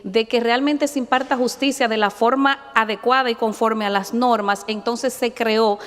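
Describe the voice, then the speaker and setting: A woman's voice: fairly high pitch, fairly even intonation, a bit bright. Formal woman judge in hall. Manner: formal, measured, devout asides. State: nervous. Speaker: judge and candidate. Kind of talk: formal hearing testimony. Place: large hearing chamber. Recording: long reverb in a hall.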